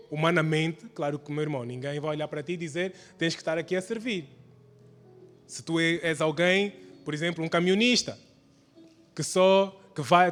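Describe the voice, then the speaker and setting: A man preaching a church sermon, exhorting with repeated phrases and rhetorical questions. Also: sits high. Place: large church hall during a service. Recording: reverberant hall sound.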